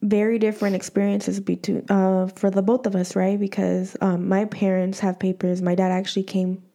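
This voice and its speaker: Woman, podcast host, casual chat, mellow voice, rambling with fillers. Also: mid-range voice, little pitch movement, normal speed. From United States. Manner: chatty, many fillers and restarts.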